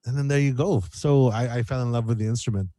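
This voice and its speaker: A man chatting casually as an interview guest, telling stories in an animated way and repeating phrases as he goes. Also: medium pitch, fast, dry recording. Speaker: guest musician.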